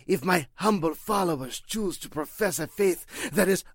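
A man performs a pompous male cult leader from a vintage radio mystery. His voice is gravelly and swoops widely in pitch. He is formal, halting and slippery, in a dry recording. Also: animated, no room sound. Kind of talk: 1940s radio drama acting.

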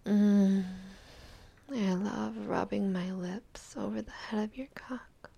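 A young adult woman performing an intimate audio roleplay, speaking mostly in a soft, teasing whisper. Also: medium pitch, fairly even intonation, unhurried, vocal fry, slightly rough.

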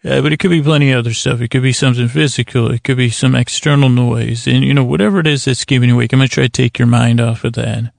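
A man with a creaky voice hosting a bedtime podcast, rambling in a lulling, soothing way and often stumbling and restarting mid-thought. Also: medium pitch, fairly even intonation, fast, few pauses, no room sound, studio quality. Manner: meandering, stammering, self-interrupting. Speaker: podcast host.

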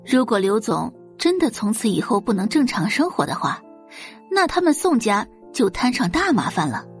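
A female audiobook narrator telling a dramatic story. Her voice is fairly high and lively in pitch, a touch husky, over quiet music. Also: moderate pace. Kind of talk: scripted dramatic fiction narration.

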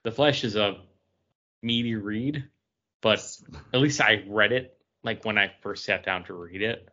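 A man's voice: on the low side, fairly even intonation, measured pace, bright, forceful tone, lo-fi sound. A man co-hosting a podcast, talking casually and giving his opinions on what he reviews. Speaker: podcast co-host reviewing comic books.

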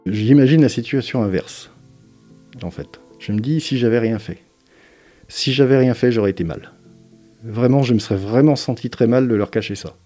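A man giving an interview as a parent, speaking in a hesitant, thoughtful way with frequent pauses and fillers. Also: medium pitch, warm tone, soft background music. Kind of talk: reflective interview testimony.

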